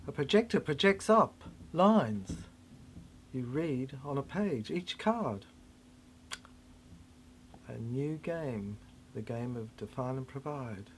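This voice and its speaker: A performer delivering a theatrical spoken-word monologue in fragmented, halting phrases with dramatic swings. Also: medium pitch, a bit bright, slight background noise.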